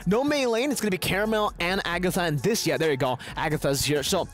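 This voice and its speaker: A man's voice: sits high, fast talker. A man casting and analysing an esports match, joking back and forth with his co-caster.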